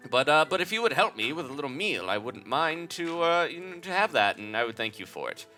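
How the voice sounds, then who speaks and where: A man's voice: on the high side, sing-song, brisk, pushed and bright, soft background music. A man voicing a kindly, aristocratic, starving llama who rambles and stammers politely. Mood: pleading.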